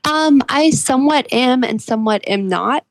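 A woman author answering interview questions in an earnest, measured, explanatory way. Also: high-pitched, expressive, dry recording. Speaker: guest author being interviewed. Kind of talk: reflective interview conversation.

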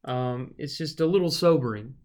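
A man's voice: mid-range voice, moderate pace, a little mellow. Man musing aloud over scripture. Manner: thinking aloud with many fillers and restarts. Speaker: podcast co-host, preacher. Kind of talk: casual Bible study discussion.

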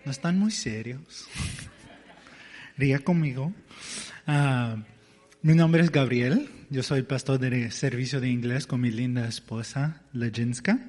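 A man's voice: medium pitch, measured pace, darkish tone, in a large hall. A man preaching as pastor, hesitant and searching for words in a language that is not his first, with as his tongue. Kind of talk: sermon.

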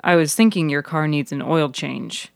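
A woman's voice: deep voice, normal speed, gravelly, no echo, studio quality. A woman voicing a serious, brooding man in an audio story, in a terse, matter-of-fact manner.

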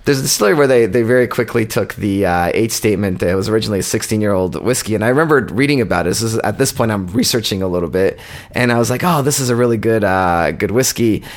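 Man, somewhat low voice, rambling casual podcast chat, halting storyteller. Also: animated. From born in Poland, raised in Canada. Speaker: podcast co-host.

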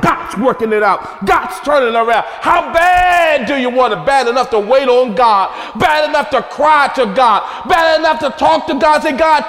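Man, preacher, very high voice, impassioned shouting, rhythmic repetition, long hall reverb.

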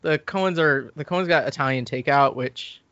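A man's voice: a little high, moderate pace, a bit bright, no echo, muffled recording. A man hosting a podcast, recapping TV in casual, rambling, profane banter. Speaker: podcast host.

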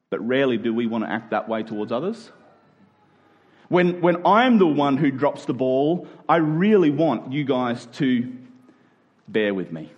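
Higher-voiced man preaching conversationally. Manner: asks rhetorical questions with check-in tags like "right?" and "okay?". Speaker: pastor preaching to a congregation. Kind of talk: conversational expository sermon.

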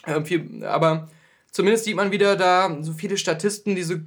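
A man's voice: high voice, moderate pace, gravelly, a bit bright. A man co-hosting a podcast in a casual, conversational film discussion. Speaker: podcast co-host.

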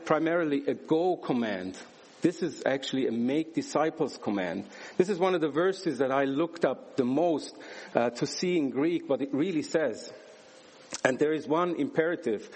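A man's voice: mid-range voice, a little mellow. A man from Switzerland giving a church sermon, earnest and emphatic, with rhetorical questions and a personal testimony. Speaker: guest preacher.

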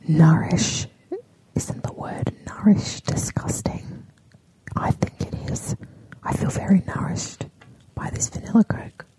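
A woman speaks in a slow ASMR whisper, drawing out her words deliberately. Her delivery is playfully opinionated, and when voice breaks through it is on the low side and quite varied in pitch. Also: low-quality recording.

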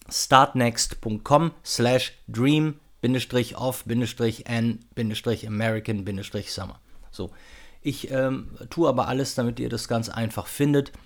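A man hosting a podcast, making a casual unscripted announcement in an informal, chatty, somewhat hesitant and rambling way. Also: mid-range voice. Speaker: podcast host.

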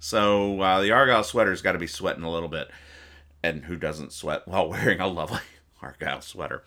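A man's voice: low voice, brisk, sharp tone. A man hosting a comedy podcast, casually reading out and explaining comics in a rambling, mock-earnest way. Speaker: podcast host.

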